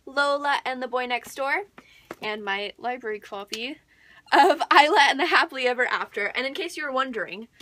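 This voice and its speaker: A young American woman chatting casually and excitedly to viewers as a book vlogger, gushing and emphatic, often repeating words for emphasis. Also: high voice, moderate pace.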